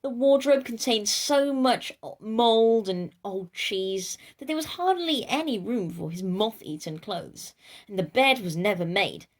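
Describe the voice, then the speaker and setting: A woman's voice: medium pitch, animated, a little slow. A woman reading a children's storybook aloud in a playful, theatrical way, speaking directly to her listeners. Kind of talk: animated children's storybook narration.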